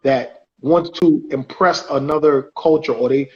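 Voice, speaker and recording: A man co-hosting a podcast in casual debate, rambling loosely and shouting out viewers' comments. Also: on the high side, steady tone, measured pace, highs cut off. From United States. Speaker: podcast co-host.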